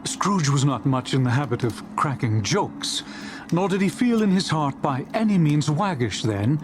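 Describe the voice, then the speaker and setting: A man narrating a dramatized classic story in a theatrical, suspenseful, deliberate way. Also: on the high side, sing-song, music in the background.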